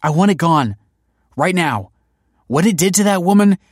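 A man's voice: lowish voice, moderate pace, husky, no room sound, studio quality. A man voicing an alarmed, insistent woman in a narrated story, emphatic and pleading. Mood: frightened, insistent. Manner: emphatic, pleading, stammering when frightened.

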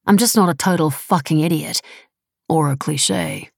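A woman's voice: deep voice, normal speed, creaky, husky, dry recording, clean studio sound, full character voice. A woman voicing a defensive, scornful, foul-mouthed woman in an audiobook, blunt and sarcastic with casual phrasing. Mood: indignant.

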